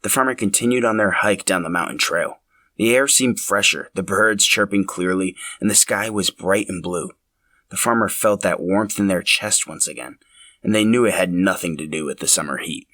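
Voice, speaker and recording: Male narrator for scripted fiction podcast: lowish pitch, bright forward tone, very dry close sound. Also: moderate pace, dry recording.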